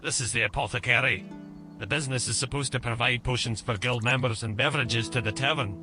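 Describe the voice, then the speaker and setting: A man's voice: medium pitch, fairly even intonation, quick pace, lightly acted. Man playing a friendly bard over music. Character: friendly male bard guiding a newcomer. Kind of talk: audio game character acting.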